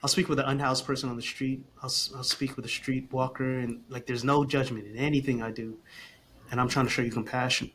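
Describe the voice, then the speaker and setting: A man's voice: mid-range voice, level tone, brisk, a bit bright, slight background noise. A man guest on a casual podcast, talking earnestly and expressively, often repeating a phrase while he gathers his thoughts. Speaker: guest, communication coach for parents.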